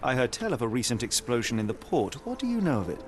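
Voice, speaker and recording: Game detective, male actor: British, self-assured, probing, measured, animated intonation, music underneath. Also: medium pitch, close to an everyday voice. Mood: probing, cool. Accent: British English. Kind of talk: video game cutscene acting. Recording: music behind the voice.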